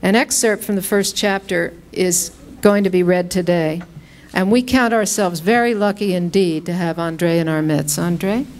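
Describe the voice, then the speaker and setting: A woman's voice: fairly low pitch, steady tone, normal speed, darkish tone, a little hiss or hum. A woman hosting a reading, giving a prepared introduction in formal, complimentary phrasing. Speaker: host introducing a reader.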